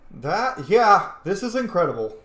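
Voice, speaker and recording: A man's voice: high voice, sing-song, measured pace, fairly bright tone, echoey room. A man giving casual, chatty, opinionated playthrough commentary as a gaming video host. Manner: chatty, opinionated fan talk with swearing.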